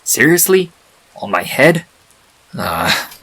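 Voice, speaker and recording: A man's voice: a little high, big pitch swings, takes it slowly, sharp tone, breathy edge, pitched above the performer's own voice, heavily acted. A man voicing a grumpy, reluctant male chaos spirit trapped in stone, exasperated and grudging, in a fan story reading.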